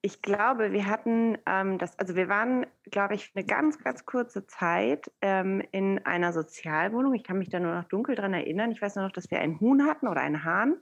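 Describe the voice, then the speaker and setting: A woman in her thirties, born in Iran, talking as a guest over a video call, thoughtful and hesitant with many pauses. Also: medium pitch, expressive, creaky, muffled recording. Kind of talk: casual interview conversation.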